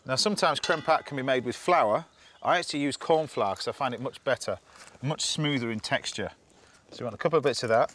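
A man, a TV chef chatting through a cooking demonstration outdoors, instructive and quick to laugh. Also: medium pitch, a bit bright, a little hiss or hum. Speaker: TV chef host. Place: outdoors at a campsite.

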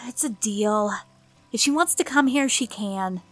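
A guarded, world-weary woman, played by an actress in an audio drama. Her voice is high, her delivery firm and measured, with light music underneath. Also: animated, fairly bright tone, exaggerated voice. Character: guarded, world-weary forest-dwelling woman, stern and principled.

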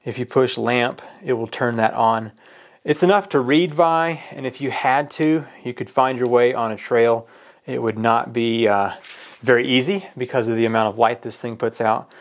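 A man with a mid-pitched voice talks through a product demonstration. He explains each step plainly in a casual, practical way, as a reviewer showing how something works. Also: normal speed. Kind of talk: casual hands-on product walkthrough. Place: indoors at a work table.

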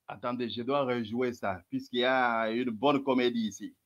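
A man's voice: mid-range voice, fairly even intonation, normal speed, lo-fi sound. A man commenting sarcastically on a replayed religious debate, repeatedly urging his listeners to follow along. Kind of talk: mocking commentary over replayed clips.

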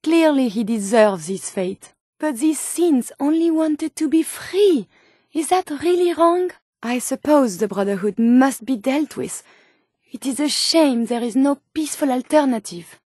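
A curious robot scientist from a video game. Her voice is high and French-accented, with precise, earnest, formal phrasing. The recording is dry and close, as studio game dialogue. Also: animated, unhurried, dark tone, no room sound. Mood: troubled, moral concern. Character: eager, idealistic, curious female robot scientist.

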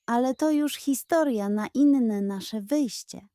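A woman's voice: a little high, slow, warm tone, clear, resonant tone, dry recording, studio quality. A woman voicing a kind, proud old grandfather and former soldier in a children's storybook, speaking earnestly and tenderly. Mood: fond, explaining. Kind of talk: children's audio storybook character.

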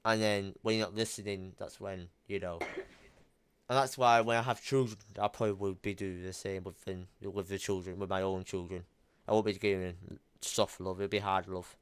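A man's voice: low voice, fairly even intonation, normal speed, smooth, dry recording. A young man talking casually on a call from his home gaming room, blunt and emphatic, swearing now and then. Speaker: YouTuber.

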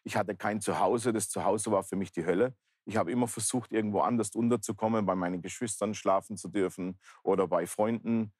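A man's voice: fairly low pitch, fairly quick, few pauses, a little mellow, husky, no room sound, studio quality. A man in his fifties, speaking earnestly and plainly as he gives personal testimony in an interview. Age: 50s. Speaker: talk show guest, pastor. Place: large indoor bar hall, seated interview.

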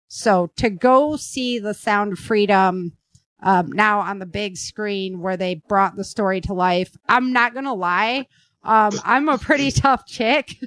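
A woman speaks as a podcast host at a slow, unhurried pace, in a close, dry recording. Her delivery is informal and slightly halting, and she leans on words for emphasis. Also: mid-range voice, no echo. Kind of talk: casual podcast chat.

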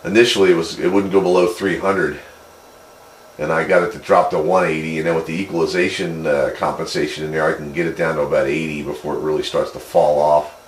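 A man's voice: extremely low pitch, level tone, quick pace. A man chatting casually over two-way radio as a hobbyist, explaining technical things in a rambling way. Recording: roomy, noisy CB radio speaker pickup.

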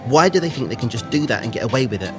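A man plays an idealistic schoolboy in a motion comic, quick to indignation. The voice is medium in pitch. Music runs clearly underneath. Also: expressive, natural voice. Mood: indignant. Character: idealistic, indignant teenage boy. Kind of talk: dramatized comic voice acting.

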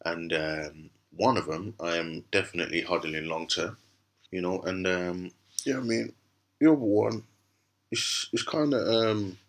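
A man with a very low, raspy voice that sounds hoarse from a cough. His tone is bright and forceful despite the gravel, and he rambles through casual commentary with plenty of fillers and restarts. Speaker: crypto channel host. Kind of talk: casual commentary over screen recording.

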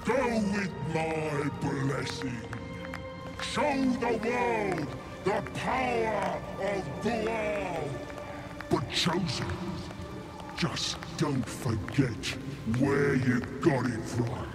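A sly, menacing god of murder in a fantasy game. He taunts theatrically in a fairly high, very slow voice that rises and falls widely. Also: animated, over loud music. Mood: smug approval. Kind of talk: fantasy video game acting.

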